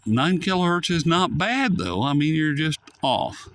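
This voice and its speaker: A man's voice: fairly high pitch, animated, measured pace. A man casually reviewing a shortwave radio in a folksy, rambling way that drifts off topic. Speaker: radio reviewer, channel host. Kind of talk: casual, unscripted product review.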